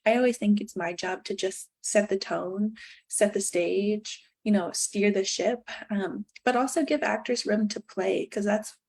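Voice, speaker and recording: Quiet-spoken woman filmmaker, gravelly fry, halting and candid interview talk. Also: medium pitch. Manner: halting, many fillers, candid. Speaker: filmmaker guest. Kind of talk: casual remote interview.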